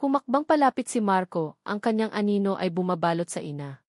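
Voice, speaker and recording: A woman's voice: mid-range voice, expressive, a little fast, darkish tone, no room sound. Woman narrating a dramatic story, dry sound.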